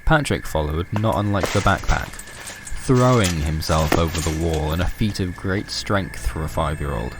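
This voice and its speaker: A man's voice: low voice, normal speed, noisy background. A man narrating a scripted horror story for a fiction podcast.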